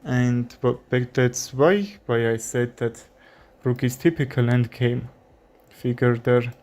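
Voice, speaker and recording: A young man with an Armenian accent commentating his own chess game, thinking aloud with frequent fillers and pauses. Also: mid-range voice, darkish tone. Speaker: chess streamer. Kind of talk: live commentary while playing chess.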